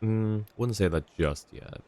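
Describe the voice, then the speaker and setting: A man's voice: lowish voice, a little slow, darkish tone, slight background noise. A man doing casual live game commentary as a streamer, reacting to the story as it plays.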